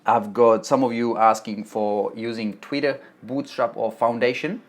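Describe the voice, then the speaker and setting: A man's voice: medium pitch, steady tone, a little slow. A man from the Czech Republic with a Czech accent, hosting a live stream and chatting through viewer questions about web development tutorials, often restarting his sentences. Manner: chatty, reads chat aloud, frequent restarts. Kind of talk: live stream Q&A, answering chat. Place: home office, Melbourne.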